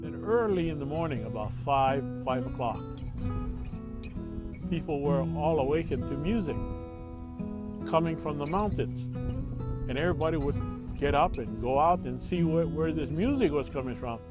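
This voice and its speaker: Man recalling old days, slightly higher voice, slow and reflective, loud plucked guitar underneath. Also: expressive.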